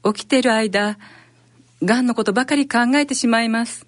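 A woman's voice: fairly high pitch, normal speed, no echo, studio quality, natural voice. A woman playing an anxious, downcast wife recovering from cancer in an amateur radio drama.

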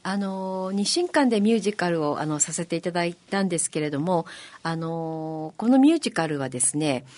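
A woman guest being interviewed, explaining politely and haltingly with many filler words. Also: on the low side, lively intonation, a little mellow. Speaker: guest, amateur musical performer.

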